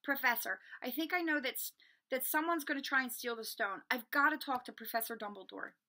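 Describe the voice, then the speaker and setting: A woman's voice: high-pitched, fairly quick, bright, forceful tone, voice pushed up. A woman playing an anxious schoolboy wizard in a storybook, speaking with insistent, earnest urgency. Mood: frantic.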